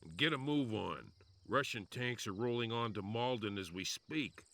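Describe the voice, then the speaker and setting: An actor playing a weary, wry army commander giving orders and briefings in a video game cutscene. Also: male voice, medium pitch, moderate pace, pushed and bright. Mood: commanding. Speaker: military commander.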